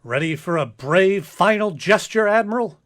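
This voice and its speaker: High-pitched, animated, earnest starship captain played by a man. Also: moderate pace. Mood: desperate, dramatic. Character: earnest, flustered male starship captain of space comedy. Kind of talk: comic radio play acting.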